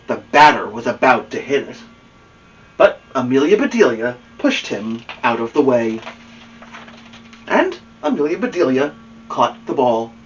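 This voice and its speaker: A man reading a children's storybook aloud as host, expressive and engaging with a young listener. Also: mid-range voice. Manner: expressive, pausing to ask a child listener questions. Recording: muffled, distant microphone.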